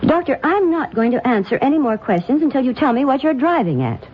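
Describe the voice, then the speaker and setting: A woman's voice: high-pitched, big pitch swings, brisk. A woman playing a worried, guarded wife in an old-time radio drama, anxious and pressing for answers. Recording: muffled, hissy old radio recording.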